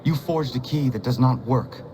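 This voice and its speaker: A man's voice: mid-range voice, normal speed, natural voice. An actor playing a stern, earnest young male prisoner with a formal, blunt way of speaking, in a game drama. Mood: skeptical. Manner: formal, blunt, without contractions. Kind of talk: dramatic game cutscene acting. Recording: clear background noise and ambience.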